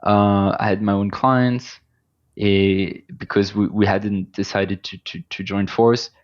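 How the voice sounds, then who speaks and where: Low, flat-voiced man with a French accent. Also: slow, muffled recording. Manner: hesitant, many restarts and fillers. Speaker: agency founder, guest. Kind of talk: casual interview conversation.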